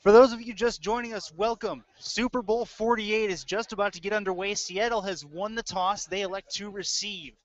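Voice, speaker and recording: A man's voice: high-pitched, moderate pace, few pauses, dry recording. A man hosting an amateur live sports broadcast, speaking as the play-by-play announcer and podcast host. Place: live from a cafe. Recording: dull lo-fi live stream audio.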